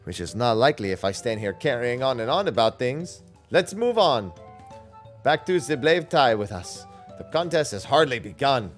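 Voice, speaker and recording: A man's voice: mid-range voice, big pitch swings, moderate pace, faint music behind, lightly acted. Guy playing a spirited young game princess, earnest, determined, voice jumps up and down. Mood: resolute. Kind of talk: game character dialogue read aloud.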